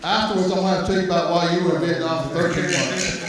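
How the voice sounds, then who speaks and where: A man moderating a symposium, wrapping up with folksy, chatty thanks full of "uh" pauses. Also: sits high, crowd noise. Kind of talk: closing remarks at a symposium. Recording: echoey hall, distant mic, clear noise.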